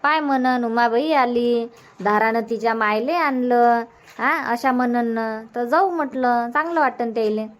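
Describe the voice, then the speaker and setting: High-voiced, homely Marathi village mother played by a woman. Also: unhurried, natural voice. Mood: agreeable, planning. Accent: Varhadi Marathi. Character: chatty, practical village mother, middle-aged or older, organising an outing. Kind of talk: cartoon comedy dubbing.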